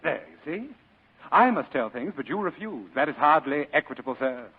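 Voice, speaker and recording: Radio drama villain: big jovial schemer, florid and courtly, lighter-pitched voice, muffled vintage broadcast. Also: male voice, big pitch swings, normal speed, breathy edge, heavily acted. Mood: coy, reproachful. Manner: florid, courtly, chuckling speech. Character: jovial, sly, heavyset male crime boss. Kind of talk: 1940s radio acting.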